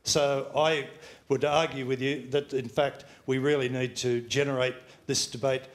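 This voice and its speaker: A man's voice: mid-range voice, little pitch movement, moderate pace. A man in the audience, making an earnest argument into a handheld microphone. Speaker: audience member asking a question.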